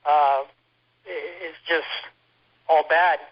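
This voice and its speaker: A man's voice: on the high side, steady tone, very slow. A man giving an informal first-hand complaint as a hospital patient, speaking over a telephone conference call. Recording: muffled lo-fi telephone conference line.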